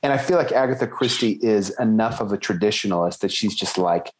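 A man co-hosting a casual book-club podcast, thinking aloud and hedging as he weighs theories with lively emphasis. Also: fairly low pitch, a little fast, slight background noise. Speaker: podcast co-host.

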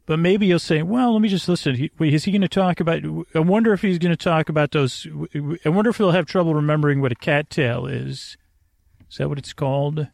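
A man hosting a sleep podcast with a creaky, gravelly voice, rambling in a halting, meandering bedtime monologue that often trails off mid-thought. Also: on the high side, a little mellow, no room sound, studio quality.

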